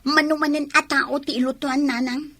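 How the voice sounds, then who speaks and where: A woman playing an ambitious, stubborn young village woman in a radio drama, with an Ilocano accent and an insistent, husky delivery. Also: sits high, fairly even intonation, normal speed, fairly bright tone, faint music behind. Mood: complaining.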